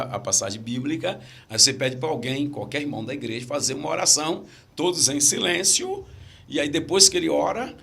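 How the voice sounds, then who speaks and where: Stammering Brazilian pastor, gravelly voice. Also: male voice, fairly high pitch, a bit bright. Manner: repeats phrases, laughs at own stories. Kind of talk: casual podcast chat, storytelling.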